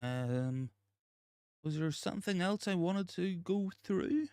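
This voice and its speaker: A young man, hoarse and gravelly from a recent virus, hosting a casual live chat and reading out viewer comments, with many ums and repeated phrases. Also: sits high, expressive, takes it slowly, darkish tone, dry recording, clean studio sound. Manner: repeats himself, frequent ums and restarts. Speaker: livestream host.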